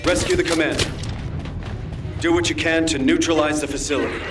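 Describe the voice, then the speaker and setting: A man's voice: fairly high pitch, steady tone, fairly quick, lightly acted. A man voicing a firm, authoritative mission commander giving orders to his units. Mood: commanding. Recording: distant voice, loud music.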